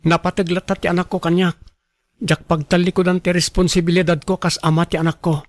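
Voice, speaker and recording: An earnest young father played by a man in a radio drama, in a high male voice with a dry recording. He speaks respectfully. He comes across as responsible and devoted. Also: level tone, fairly quick, dry recording, close to an everyday voice. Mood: earnest, caring.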